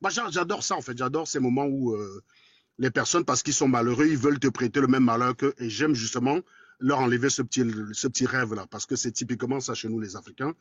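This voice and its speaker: A man's voice: mid-range voice, husky, no room sound, lo-fi sound. A man in his forties with a Cameroonian accent, speaking bluntly and crudely in a casual live chat. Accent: Cameroonian French. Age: 40s. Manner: blunt, crude and combative, quick and talkative. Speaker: guest in a live debate.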